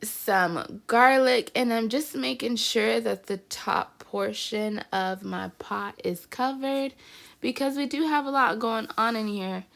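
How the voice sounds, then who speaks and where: A woman's voice: a little high, slow pace, vocal fry, a bit bright. A woman with a stuffy, congested voice, chatting upbeat through a home cooking video as the channel's host. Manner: chatty and upbeat, says "y'all" often. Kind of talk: casual cooking vlog narration.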